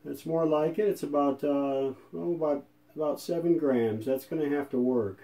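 Mellow-voiced man giving a folksy demo. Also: a little high, moderate pace. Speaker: video host and radio restorer. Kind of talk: casual hands-on demo narration.

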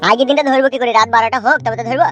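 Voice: mid-range voice, steady tone, racing, a bit bright, faint music behind, natural voice. A voice actor playing a naive, stubborn and boastful teenage boy suitor in a comic cartoon. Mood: earnest, boastful. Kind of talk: comic cartoon dialogue in rural speech.

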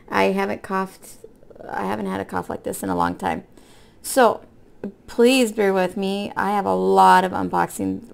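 A woman with a hoarse voice from being sick, chatting casually in a folksy, rambling way as a craft vlogger. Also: medium pitch. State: hoarse from illness with a cough. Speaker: craft vlog host. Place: indoor room at a table.

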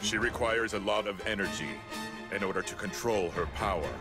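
A man acts out a towering android from an anime game. The voice is very low, calm and grave, with formal, deliberate phrasing. Music plays clearly behind it. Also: lightly acted. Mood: flat, calm. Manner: deliberate, formal, unemotional delivery. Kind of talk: anime game dub acting.

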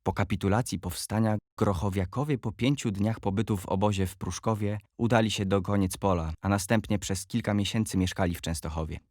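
A man reading scripted biographical narration for an audio play in a measured, factual manner. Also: fairly low pitch, steady tone, darkish tone, no room sound, studio quality.

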